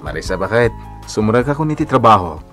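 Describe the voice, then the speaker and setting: Low-voiced, patient young Ilocano husband. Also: male voice, big pitch swings, quick pace, over loud music. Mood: affectionate. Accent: Filipino, Ilocano. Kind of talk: radio drama acting.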